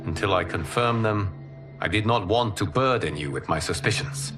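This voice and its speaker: An actor playing a humble former god in a video game, speaking in a formal, measured, earnest way. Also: male voice, on the low side, lively intonation, music in the background, natural voice. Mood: earnest, solemn. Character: solemn, humble former god and protector of Earth. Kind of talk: dramatic video game cutscene acting.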